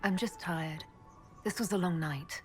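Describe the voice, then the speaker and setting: An actress playing a young, devoted female elf warrior in a video game, sincere and soft-spoken. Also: lowish voice, a little slow, soft background music, natural voice.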